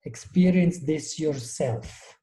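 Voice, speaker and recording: A man speaks as an interview guest with a very dark, mellow tone. He thinks aloud and often restarts his sentences. The room around him gives a noticeable echo. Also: on the high side, slow pace. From Switzerland. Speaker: guest, innovation strategist. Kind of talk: conversational interview.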